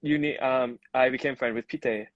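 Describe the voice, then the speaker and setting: A chatty young man from Thailand, a native Thai speaker, talks loosely in a casual live-stream chat, restarting and dropping fillers. His mid-pitched voice sits close and dry, and the stream audio sounds dull and lo-fi. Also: fairly even intonation, normal speed, dry recording. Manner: chatty, many restarts and "you know" fillers. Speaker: guest, actor.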